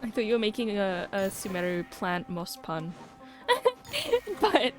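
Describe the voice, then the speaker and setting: A woman's voice: mid-range voice, unhurried. A young woman doing casual live gameplay commentary as a streamer, playful and joking with mock-dramatic asides. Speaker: video game streamer. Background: faint to clear game music.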